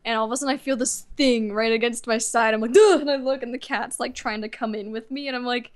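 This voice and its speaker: A nineteen-year-old woman chatting casually as an interview guest, with a giggly, animated way of telling stories. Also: a little high, fast. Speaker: guest, amateur voice actor.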